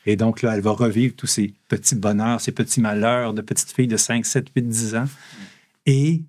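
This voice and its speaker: A man with a Québécois accent, a comic book author chatting in a relaxed, rambling way with lots of fillers. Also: lowish voice, normal speed. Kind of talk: casual interview talk.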